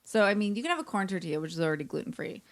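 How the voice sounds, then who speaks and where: A woman's voice: mid-range voice, sing-song, racing. Rambling, self-deprecating American woman chatting. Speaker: podcast co-host. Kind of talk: casual chat, storytelling.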